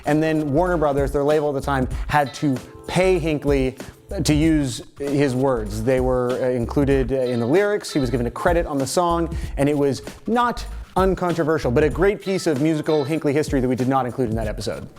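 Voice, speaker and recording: A man's voice: medium pitch, a little fast, darkish tone. A young Canadian man from Toronto hosting a music video series, casually answering viewer comments with jokey, sarcastic asides.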